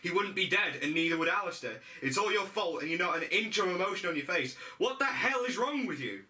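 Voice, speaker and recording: A man's voice: high-pitched, lively intonation, brisk, pushed and bright, echoey room, voice pushed up, full character voice. A man playing an agitated, accusing young man who films his friends, in an amateur script read-through. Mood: furious, accusing. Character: Gray, panicked angry young man filming his friends. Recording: distant mic, faint room noise.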